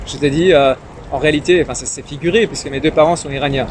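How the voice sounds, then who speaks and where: A shy young man from Iran, whose first language is Farsi, talks through a relaxed interview, stumbling over fillers and repeated words. His voice sits a little high. Loud city traffic and street noise run underneath. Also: fast. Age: young adult. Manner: timid. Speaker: interview guest, young politician. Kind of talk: casual interview conversation. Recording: noisy street and traffic ambience.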